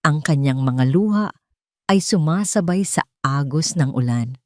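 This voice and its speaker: A woman with a Filipino accent narrating a dramatic story in a solemn, emotive, deliberate way. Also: low voice, animated, dry recording, clean studio sound.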